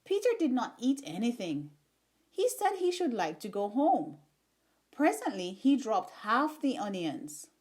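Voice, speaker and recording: Female storybook narrator, higher-pitched and animated, taking her time with each phrase for young listeners. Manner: expressive, unhurried storytelling. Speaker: children's story reader. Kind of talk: read-aloud storybook narration.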